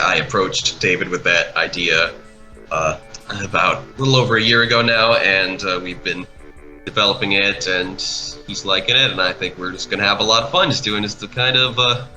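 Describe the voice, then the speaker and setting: Male guest, low pitch, very sharp bright tone, enthusiastic and halting, conversational. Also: low-quality recording. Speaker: guest, creator and voice actor. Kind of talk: casual chat, commentary over an art video.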